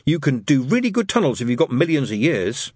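Storybook boy gang leader, played by a man: raised pitch, husky, lofty and insistent. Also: very animated, fairly quick, darkish tone, no room sound, studio quality. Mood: lofty, confident. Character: bossy, know-it-all boy, imaginative gang leader.

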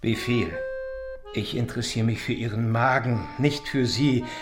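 A man's voice: mid-range voice, measured pace, close to an everyday voice. A man acting in a radio drama as a brusque, sardonic doctor who talks to his patient in a patronising, scolding way. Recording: echoey room, music underneath.

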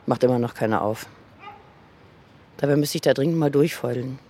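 A man's voice: mid-range voice, fairly quick, mellow. A man narrating in the first person for a radio piece.

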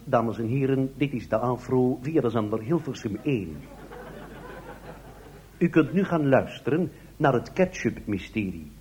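A man playing a solemn, old-fashioned radio announcer in a parody, with formal, drawn-out delivery. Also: mid-range voice, a little mellow, a little hiss or hum, close to an everyday voice.

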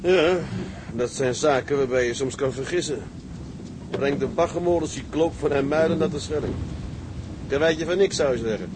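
A man's voice: a little high, normal speed, natural voice. An actor playing a weary, thrifty, plain-spoken tugboat seaman in a period maritime drama. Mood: reflective. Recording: clearly noisy background ambience.

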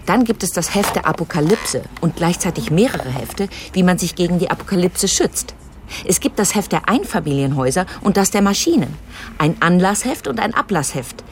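An actress playing an insistent, probing adult daughter with a pointed manner in a naturalistic radio drama. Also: female voice, lowish voice, animated, normal speed, slight background noise. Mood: earnest, insistent. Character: insistent, accusing grown daughter digging up family past.

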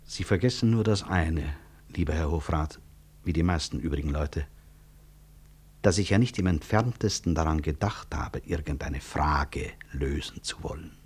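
A man's voice: very deep voice, moderate pace, a little mellow, husky. An actor playing a proud, principled middle-aged medical professor in a German radio play, formal and emphatic in his delivery. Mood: firm.

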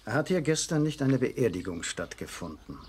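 An actor playing a stubborn, suspicious and touchy husband in a radio drama, with an insistent manner. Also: male voice, medium pitch, big pitch swings, normal speed, darkish tone, a little hiss or hum. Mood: probing. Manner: insistent, irritable. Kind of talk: German radio drama acting.

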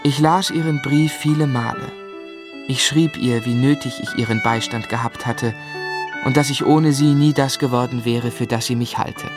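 An actor playing a tender, reflective novelist looking back on his life in a measured, earnest radio drama delivery. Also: mid-range voice, fairly even intonation, lightly acted. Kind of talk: literary radio drama narration and dialogue. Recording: studio radio play with music underneath.

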